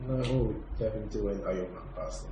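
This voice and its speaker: A man's voice: fairly low pitch, fast, warm tone. A man giving his opinions as a panelist in a casual review discussion. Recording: very echoey room, distant mic, faint noise.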